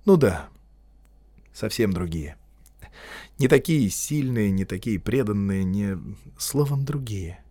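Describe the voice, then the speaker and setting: A man's voice: fairly low pitch, expressive, slow, pauses often, mellow, no echo. Blunt guarded young guy, narrator doing him, curt lines, dry sound.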